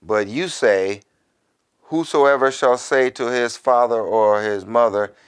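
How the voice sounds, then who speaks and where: Slow-speaking, clear-voiced male minister. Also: medium pitch. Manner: deliberate, preacherly, with quieter conversational asides. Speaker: minister, Bible teacher. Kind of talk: scripture reading with informal sermon commentary.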